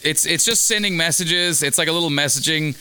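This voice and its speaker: A man's voice: fairly high pitch, brisk. A man in a casual chat, ranting and joking with lots of swearing and rambling restarts. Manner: rambling, profane, jokey ranting. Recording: noisy background hiss.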